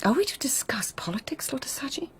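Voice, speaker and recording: An actress playing a proud, witty lady-in-waiting of tenth-century Japan in a radio drama, hushed and measured, with formal poetic phrasing. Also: high voice, big pitch swings, whispered. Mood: wry, poetic. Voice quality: breathy, hushed. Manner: measured, courtly, pointed.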